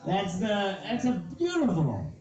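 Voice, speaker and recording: A man's voice: high-pitched, wildly swooping pitch, a little slow, a little mellow. A man hosting a comedy show, introducing acts with loose, rambling banter and lots of "dude". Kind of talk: stand-up hosting. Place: comedy club stage. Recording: echoey, distant, dull-sounding.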